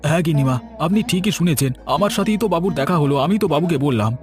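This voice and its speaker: A man's voice: fairly high pitch, lively intonation, racing, darkish tone, faint music behind, lightly acted. An actor voicing an earnest, worried village man in a cartoon, with an insistent delivery. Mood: respectful, concerned. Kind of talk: cartoon voice acting.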